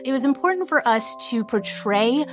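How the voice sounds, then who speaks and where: A woman's voice: on the high side, animated, moderate pace. A woman speaking conversationally as a guest who creates audio drama, explaining her work in an interview. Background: music.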